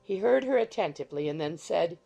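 A woman narrating an audiobook in a measured, even manner. Her voice is low, dark and gravelly with a husky edge, and soft music plays underneath. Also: muffled recording.

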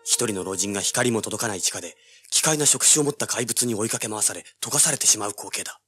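An actor playing a cocky, hard-boiled young treasure hunter, brash and wry, in a dramatized audiobook. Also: male voice, lowish voice, quick pace, bright, forceful tone, faint music behind. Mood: brooding narration. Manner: brash, wry, rough-spoken. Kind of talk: dramatized audiobook acting with first-person narration.

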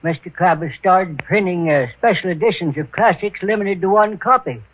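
An actor playing an anxious, deferential elderly bookkeeper with hesitant, stammering speech in a 1940s radio drama. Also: male voice, high-pitched, normal speed, a little hiss or hum, lightly acted. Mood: timid, explanatory. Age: older. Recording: tinny, muffled old radio recording.

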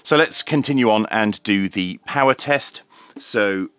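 A man's voice: fairly low pitch, a little slow, a bit bright, clean tone. A man giving an unscripted hands-on product demonstration as a reviewer, explaining step by step in a matter-of-fact, methodical way. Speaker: radio reviewer presenting a demonstration.

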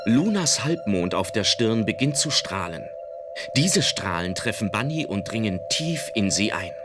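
A man's voice: on the low side, sing-song, measured pace. A man narrating a children's adventure radio play as a dramatic storyteller. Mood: dramatic narration. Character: dramatic male storyteller of a magical-girl adventure. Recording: loud music under the voice.

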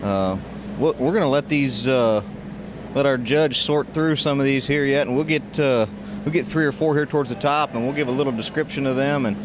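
An American man offers live commentary as a livestock show co-commentator. His voice is middle-pitched and his talk is halting, with words repeated as he thinks. A clearly noisy arena is heard around him. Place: large indoor show arena.

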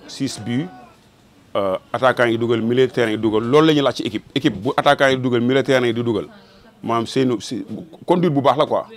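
A young man, a football fan, explaining earnestly in a small shop into a handheld mic. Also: mid-range voice. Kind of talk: street interview.

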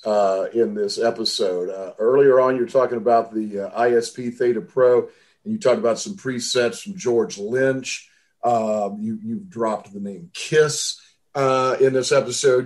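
Male podcast host, hesitant questioner, mid-pitched voice. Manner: halting, many uh fillers. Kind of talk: casual interview questions.

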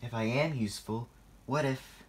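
A man's voice: mid-range voice, moderate pace, natural voice. A man voicing a vain male steam engine who frets and complains in a children's story. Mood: anxious. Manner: fretting, complaining.

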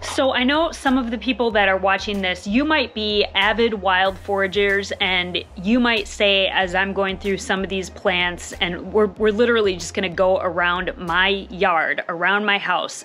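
A woman's voice: mid-range voice, a bit bright. Chatty woman vlogging from her porch. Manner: enthusiastic, chatty, repeats words for emphasis. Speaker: homesteading vlogger. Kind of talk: casual vlog talk to camera. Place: covered back porch of a house, outdoors. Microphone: phone camera at arm's length.

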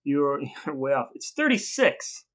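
A man's voice: medium pitch, very animated, measured pace, fairly bright tone. A man co-hosting a casual comedic podcast, telling stories in a wry, playfully grand way. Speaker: podcast co-host. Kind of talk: casual comedic storytelling chat.

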